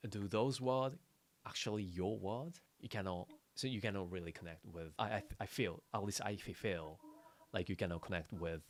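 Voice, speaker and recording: Low-voiced man chatting haltingly. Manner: halting, searching for words, repeats phrases. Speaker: podcast co-host. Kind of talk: casual unscripted chat.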